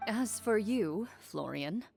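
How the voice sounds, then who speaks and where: A woman playing a composed young duelist and hunter in a video game, speaking in a calm, formal, measured way. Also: medium pitch, gravelly, soft background music.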